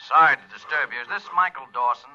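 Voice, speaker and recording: An American actor playing a blunt, businesslike police sergeant in 1940s radio style, clipped and matter-of-fact. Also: male voice, medium pitch, fast talker, sharp tone. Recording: muffled old radio recording.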